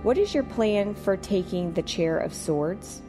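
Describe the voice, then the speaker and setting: A woman's voice: mid-range voice, lively intonation, measured pace, natural voice. A sensible, exasperated queen, played by a woman in a comedic audio drama, with a mid-range voice. She questions and scolds in stern, formal phrases while music plays behind her. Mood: stern questioning.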